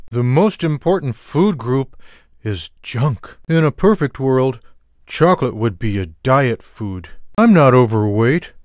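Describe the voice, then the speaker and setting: Slow, deadpan lazy cartoon cat. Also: male voice, mid-range voice, no room sound. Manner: deadpan, unhurried, dry wisecracking. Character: lazy, sarcastic, food-loving fat cartoon house cat. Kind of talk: scripted video game character lines.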